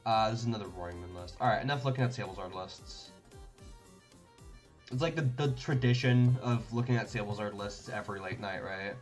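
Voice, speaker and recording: A man's voice: on the low side, brisk, faint music behind. A young man from Denmark streaming a card game, thinking aloud in casual commentary with lots of fillers and restarts.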